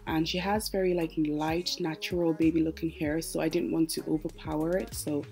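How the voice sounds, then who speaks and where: A woman's voice: low voice, little pitch movement, brisk, darkish tone. A woman giving a casual makeup tutorial voice-over as host, chatty and explanatory, speaking directly to her viewers. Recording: music playing behind the voice. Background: background music.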